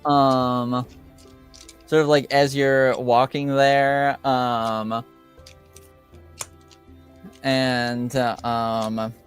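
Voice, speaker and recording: A man narrating an improvised tabletop game as game master, halting and searching for his words. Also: medium pitch, flat delivery, smooth, soft background music.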